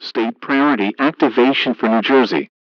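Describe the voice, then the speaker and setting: A man's voice: medium pitch, moderate pace, no room sound. Dull, lo-fi male emergency alert announcer. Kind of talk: scripted emergency broadcast announcement. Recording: dull lo-fi emergency radio broadcast.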